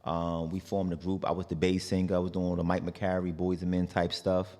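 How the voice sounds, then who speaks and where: A man's voice: sits low, fairly quick, in a large hall. A man from New York with a New York accent, a singer guest in a casual interview, talking loosely with many fillers like "like" and "you know". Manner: relaxed, rambling, lots of "like" and "you know".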